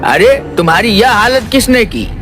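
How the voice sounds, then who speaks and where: A man voicing a scheming, bullying fat village merchant villain in an animated moral story, menacing and insulting. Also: sits high, moderate pace. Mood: angry, scornful. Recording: music behind the voice.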